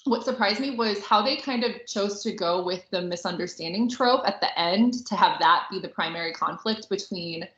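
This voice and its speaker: Woman, casual podcast co-host, bright tone, informal chatty delivery, echoey and slightly dull recording. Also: mid-range voice, moderate pace, few pauses. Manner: chatty, informal, heavy use of "like". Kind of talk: casual book and film discussion. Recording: roomy echo, slightly dull sound.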